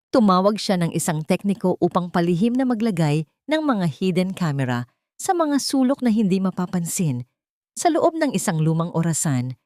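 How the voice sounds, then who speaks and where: Low-voiced woman narrating drama. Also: a little mellow, dry recording, studio quality.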